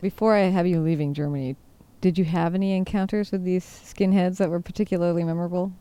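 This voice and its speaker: A woman's voice: fairly low pitch, steady tone, fast, dark tone, clean tone. A woman hosting an interview, prompting her guest with brief, friendly questions and light laughter. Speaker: podcast host.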